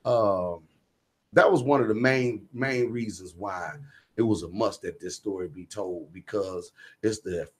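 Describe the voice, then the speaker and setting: Middle aged guy on a radio show, deep husky voice, earnest, keeps checking you get him. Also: measured pace. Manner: emphatic, often seeking agreement with repeated check-in phrases. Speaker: guest, stage playwright. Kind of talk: earnest, personal radio interview talk.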